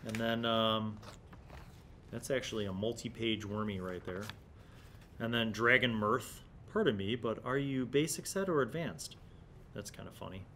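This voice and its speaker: An American man in his forties hosting a retro gaming review with relaxed, nostalgic, casual commentary. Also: normal speed. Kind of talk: casual commentary while leafing through an old magazine. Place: small indoor studio room. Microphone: large desk condenser microphone. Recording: clear low background rumble and noise.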